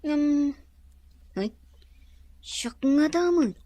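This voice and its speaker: A woman's voice: sits high, lively intonation, extremely slow, dark tone, no echo, exaggerated voice. A woman playing a cheeky, boyish tomboy servant in an audio drama, playful, naive and bantering. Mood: teasing. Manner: teasing, bantering.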